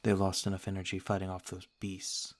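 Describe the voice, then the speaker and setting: A man playing an ancient noble vampire lord, calm, commanding and formally courteous, in an ASMR roleplay. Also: sits low, a little hiss or hum, close to an everyday voice. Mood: stern composure. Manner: formal, measured, restrained. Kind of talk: ASMR roleplay audio drama.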